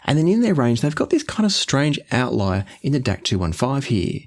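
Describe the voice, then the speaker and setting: A man hosting an audio gear review, explaining things in a measured, orderly way. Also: medium pitch, sing-song. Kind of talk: product review to camera.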